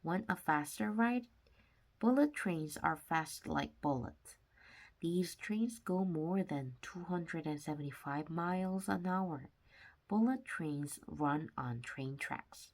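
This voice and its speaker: A woman reading a children's nonfiction book aloud with simple, deliberate phrasing, sometimes stumbling over words. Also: low-pitched, animated. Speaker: read-aloud narrator of children's books.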